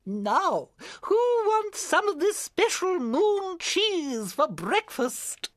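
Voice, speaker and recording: A woman's voice: very high-pitched, sing-song, drawn-out words, no room sound, clean studio sound, heavily acted. A woman voicing a giddy female cartoon cow, fluttery and giggly. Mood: delighted. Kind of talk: cartoon voice acting.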